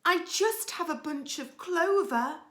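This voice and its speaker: A woman's voice: extremely high pitch, lively intonation, slow, roomy sound, pitched above the performer's own voice, exaggerated voice. A woman voicing a timid little female rabbit from a children's storybook, meek and pleading. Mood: meek, apologetic.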